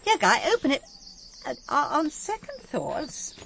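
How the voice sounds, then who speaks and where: Radio play matriarch: older English woman, haughty, brisk, swooping intonation, some ambient noise behind. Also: high-pitched, huge pitch swings, vocal fry, a bit bright, heavily acted. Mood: brisk, unruffled. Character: imperious, unflappable older English mother of a colonel. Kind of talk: radio drama acting.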